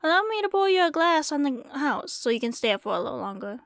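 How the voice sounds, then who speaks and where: Woman acting as old frontier saloon keeper, higher voice, folksy rambling storyteller, dry close sound. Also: big pitch swings, quick pace, fairly bright tone, dry recording, exaggerated voice. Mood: delighted, teasing. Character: folksy old Wild West saloon keeper, teasing and welcoming. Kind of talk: ASMR roleplay, storytelling to the listener.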